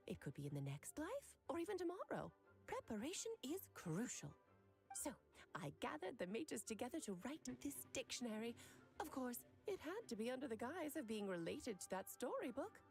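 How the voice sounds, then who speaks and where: A woman's voice: sits high, gravelly, exaggerated voice. A woman playing a playful, scheming mage aunt in a video game, with an airy, teasing and theatrical manner. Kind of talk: video game character dialogue.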